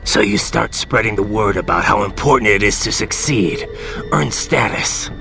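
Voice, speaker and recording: Grim cyborg soldier from a video game, deep rough growl, forceful and brooding. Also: male voice, moderate pace, soft background music. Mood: grim, resolute. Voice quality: gravelly. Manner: brooding, terse. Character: grim cyborg soldier man, haunted, duty-bound, weary.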